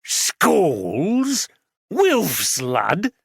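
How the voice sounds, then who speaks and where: A man voicing a blunt, gruff brewery guide in his sixties with a broad Yorkshire accent. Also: on the high side, huge pitch swings, extremely slow, dry recording, clean studio sound, voice pushed up, full character voice. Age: 60s.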